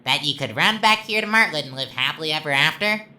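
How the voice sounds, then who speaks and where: A woman's voice: low-pitched, lively intonation, fast, pushed and bright, exaggerated voice. A woman voicing a sadistic, childishly gleeful talking flower villain in a video game, taunting theatrically and swinging from sweet to menacing. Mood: angry taunting.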